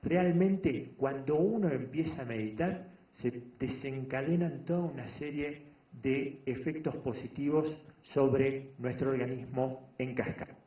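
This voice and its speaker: A man giving a measured lecture on meditation to an audience, pausing often and restarting phrases. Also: medium pitch. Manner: measured, explanatory, frequent pauses and restarts. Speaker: doctor giving a talk on meditation. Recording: echoey room, dull lo-fi sound.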